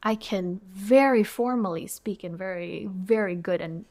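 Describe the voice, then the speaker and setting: A woman's voice: medium pitch, unhurried, darkish tone. A young woman from Indonesia, whose first language is Indonesian, speaking English as a podcast guest in a thoughtful, conversational way with frequent fillers and restarts. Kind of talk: reflective conversational interview.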